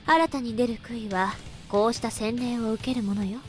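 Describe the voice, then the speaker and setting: A woman's voice: on the high side, fairly even intonation, quick pace, faint music behind, lightly acted. An actress voicing a cool, composed older teenage schoolgirl in a Japanese visual novel.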